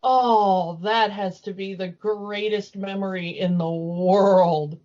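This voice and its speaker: A woman's voice: mid-range voice, fairly even intonation, slow, a little mellow. A woman co-hosting a podcast, chatting casually as a fan. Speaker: podcast co-host. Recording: dull lo-fi voice recording.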